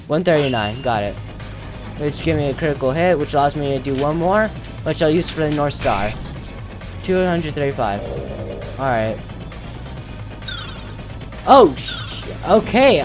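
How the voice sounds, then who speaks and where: A man commentating casually on his own video game playthrough, thinking aloud as he plays. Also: a little high.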